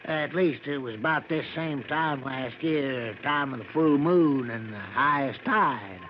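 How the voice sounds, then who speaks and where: An actor playing a folksy backwoods American driver who gossips grimly and deferentially, in a drawn-out vintage radio drama style. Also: male voice, mid-range voice, natural voice. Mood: obliging. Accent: rural American. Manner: folksy, drawn-out storytelling with dialect grammar. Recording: muffled, noisy vintage radio broadcast.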